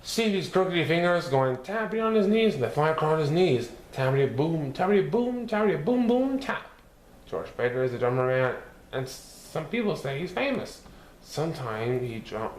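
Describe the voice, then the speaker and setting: A man reading a picture book aloud as a storyteller, expressive and sing-song, playing with repeated words. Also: fairly high pitch. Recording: roomy echo with faint background noise.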